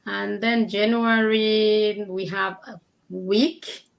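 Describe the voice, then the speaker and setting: A woman's voice: medium pitch, fairly even intonation, very slow, vocal fry. Female interview guest, Cameroonian accent, mid-range voice, conversational delivery. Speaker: interview guest, kindergarten teacher. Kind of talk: casual interview chat.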